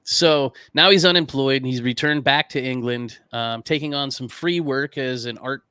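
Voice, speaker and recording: Bright, clear-voiced man telling stories. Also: medium pitch, moderate pace, no echo, studio quality. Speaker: podcast co-host. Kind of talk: casual storytelling chat.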